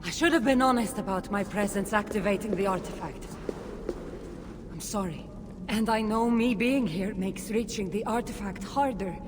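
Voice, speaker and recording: A woman's voice: medium pitch, normal speed, noisy background, natural voice. An actress playing a bold, wry Greek mercenary woman in a dramatic video game, assured and earnest. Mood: apologetic, earnest. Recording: reverberant, with clear background ambience.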